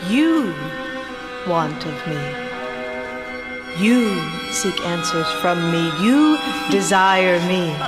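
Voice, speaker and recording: Radio horror spirit: female, taunting, commanding, extremely slow, heavy music bed. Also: on the low side, big pitch swings, full character voice. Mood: menacing, seductive. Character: taunting seductive graveyard spirit, a female phantom. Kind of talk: horror radio play acting. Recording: loud music over the voice.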